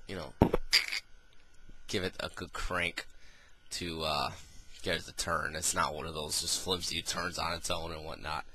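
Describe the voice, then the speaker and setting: Deep-voiced man with a stuffy nose. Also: bright, forceful tone. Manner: rambling, full of um and uh fillers. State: nasal from a cold. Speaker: product reviewer. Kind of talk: casual product review.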